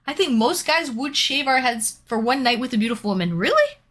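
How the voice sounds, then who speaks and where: A woman's voice: high-pitched, expressive, fairly quick, a bit bright. A young woman from Canada chatting casually with viewers while painting and reading out their comments. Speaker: streamer.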